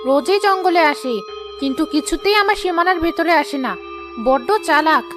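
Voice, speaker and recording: A woman's voice: very high-pitched, normal speed, over music. A woman voicing a hungry, scheming man-eating forest witch in a cartoon, menacing and gloating.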